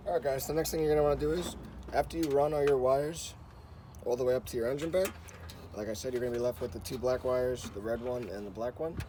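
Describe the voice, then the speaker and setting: A man's voice: mid-range voice, quick pace, dark tone. Casual man giving a tutorial, noisy background. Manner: informal, repetitive instructional phrasing. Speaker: host of a how-to car channel. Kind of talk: casual hands-on tutorial talk.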